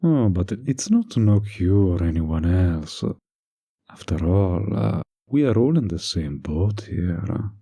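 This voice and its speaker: A man acts out a silky villain in a flirtatious audio roleplay. His voice is deep and dark with a gravelly creak, recorded dry and close. He draws out his words with teasing, manipulative politeness. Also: big pitch swings, slow, no room sound, dull audio, exaggerated voice. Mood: sly, musing. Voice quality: low, breathy, gravelly.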